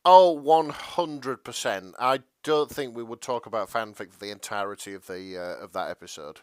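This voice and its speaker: A man's voice: mid-range voice, moderate pace, darkish tone, clear, resonant tone, no echo. A man co-hosting a podcast in casual chat, agreeable and wry. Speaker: podcast co-host.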